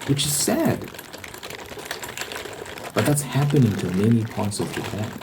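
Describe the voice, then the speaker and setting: A man's voice: on the low side, very animated, measured pace, noisy background. A man hosting an unscripted ASMR ramble, explaining his opinions and repeating points for emphasis. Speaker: host of a rambling ASMR channel.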